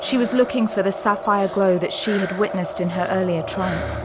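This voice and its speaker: A woman's voice: mid-range voice, normal speed, very noisy. A woman reading scripted, dramatic narration for a sci-fi audio drama. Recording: loud music under narration.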